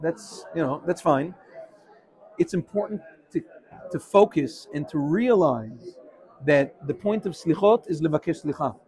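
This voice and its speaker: Here is an energetic man, giving a casual Torah class. His voice sits a little high for a man. He keeps jumping between English and Hebrew terms as he explains. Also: low-quality recording. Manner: animated, mixes English with Hebrew phrases. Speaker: rabbi teaching a class. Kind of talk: informal Torah class with questions.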